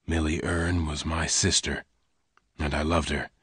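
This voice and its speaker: A man voicing a calm, blunt male gunfighter who speaks low, hushed and clipped. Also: unhurried, husky, no room sound, exaggerated voice.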